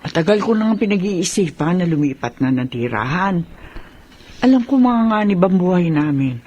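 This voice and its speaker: A woman's voice: lowish voice, lively intonation, moderate pace, darkish tone, lo-fi sound. A woman playing a worried, trusting middle-aged mother in a radio drama.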